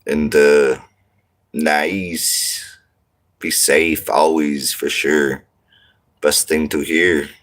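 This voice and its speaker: A man with a very low voice talks slowly and loosely to his livestream viewers. He is from Montana and speaks in laid-back slang, rambling from one comment to the next from inside his RV. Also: flat delivery, fairly bright tone. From Montana, United States. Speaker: livestream host. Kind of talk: casual livestream chat reading comments.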